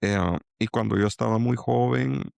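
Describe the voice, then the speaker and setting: A middle-aged man from El Salvador with a Salvadoran accent, speaking as a guest in an interview and pausing to restart his thoughts. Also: mid-range voice, gravelly, a little mellow, dry recording. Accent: Salvadoran Spanish. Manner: halting, many restarts and fillers. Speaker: angel investor guest. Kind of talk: reflective interview talk.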